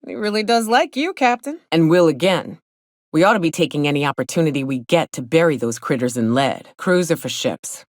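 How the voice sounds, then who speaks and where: An actress playing a gruff, hard-drinking female monster hunter in a video game, sardonic and blunt, with a loose, earthy drawl. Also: sits low, expressive, fairly quick, no room sound, clean studio sound. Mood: wry, blunt. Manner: sardonic, blunt, swaggering, colloquial swearing. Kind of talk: video game character dialogue. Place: close, dry studio recording.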